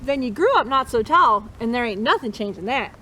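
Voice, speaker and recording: High-pitched woman reading to children. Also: lively intonation, a little fast, bright, forceful tone, a little hiss or hum, poor audio. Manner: encouraging, chanting refrains for listeners to repeat. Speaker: storytime host reading a picture book to children. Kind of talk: interactive read-aloud for children.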